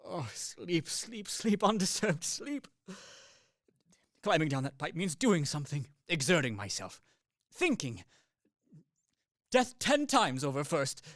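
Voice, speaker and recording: A man's voice: fairly high pitch, very animated, takes it slowly, long pauses, a bit bright, no echo, clean studio sound, exaggerated voice. Man playing a weary, mild-mannered soldier. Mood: weary, agitated. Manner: apologetic, mild, wry, with sighs and hesitations. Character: weary, practical professional soldier, politely self-deprecating. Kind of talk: radio play acting.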